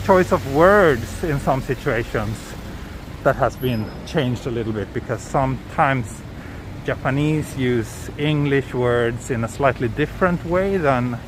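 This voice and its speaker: A man with a Swedish accent hosting a live walking tour, chatting casually and answering viewer questions with hesitant, thinking-aloud restarts. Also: mid-range voice. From Sweden. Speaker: livestream walking tour host. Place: city streets outdoors. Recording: outdoor traffic noise.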